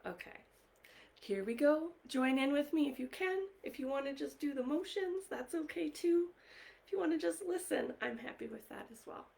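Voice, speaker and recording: A woman hosting a live online story time for young children, speaking directly to them in an encouraging, gently questioning way. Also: high-pitched, expressive, normal speed, creaky. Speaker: library story time host.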